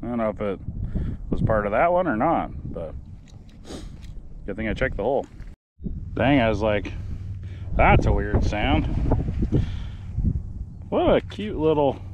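Upbeat low-voiced man digging outdoors. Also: a little slow, pauses often, muffled recording. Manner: upbeat, easily excited, thinking aloud. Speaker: metal detecting host. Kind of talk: casual narration while digging. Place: grassy field outdoors. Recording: outdoor recording with clear background noise.

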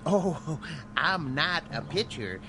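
An actor playing a polite, elderly, fussy gentleman in a radio drama, hesitant and formal. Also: fairly high pitch, very animated, slow, background noise. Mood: mild, courteous. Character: polite, elderly, fussy gentleman minding his young protégé.

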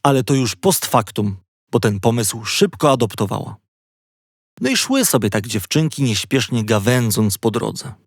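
A male narrator reads a children's audiobook. His voice sits at a middle pitch, with expressive storytelling. The recording is very dry and free of room sound. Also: normal speed, no room sound.

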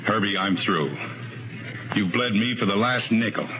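An actor playing a desperate, bitter murderer who narrates his crime in brooding, deliberate 1950s radio thriller style. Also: fairly low pitch, very noisy, natural voice. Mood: bitter, accusing. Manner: brooding, dramatic, deliberate phrasing. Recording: muffled old radio recording with music behind.

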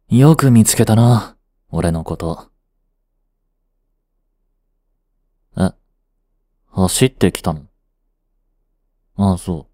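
An aloof teenage boyfriend, acted by a man in a romantic audio drama. His voice is low and very mellow, with an unhurried, nonchalant delivery. He teases curtly and dryly, hiding his fondness. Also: no room sound, studio quality. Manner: cool, curt, nonchalant teasing. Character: aloof, dry, secretly fond teenage boyfriend. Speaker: voice actor. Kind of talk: romantic situation voice drama, one-sided dialogue.

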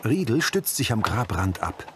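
A man's voice: fairly low pitch, sing-song, normal speed, heavy background noise. A man reading scripted audio description of scenes as a narrator. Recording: music under the voice.

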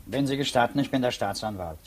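An actor in a 1950s German radio drama playing a courteous, puzzled public prosecutor who probes politely and formally. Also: male voice, mid-range voice, animated, quick pace, a little hiss or hum, close to an everyday voice. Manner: formal, polite, hesitant probing.

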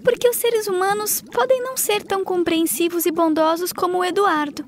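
A woman voicing a kind young mermaid princess in a gentle, soft-spoken cartoon dub. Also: very high voice, fairly even intonation, brisk, slight background noise. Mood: sad. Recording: cartoon dub with music behind.

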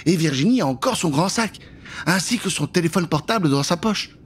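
A man's voice: on the high side, lively intonation, brisk, slightly rough. A man in his thirties telling a children's story dramatically into a close studio microphone. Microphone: close studio microphone with pop filter.

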